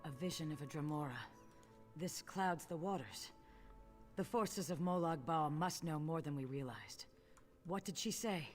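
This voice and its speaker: A woman playing a grave, concerned reptilian commander in a video game, with a measured, authoritative manner. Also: low voice, faint music behind. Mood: pensive. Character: grave, concerned female Argonian commander addressing a recruit as comrade.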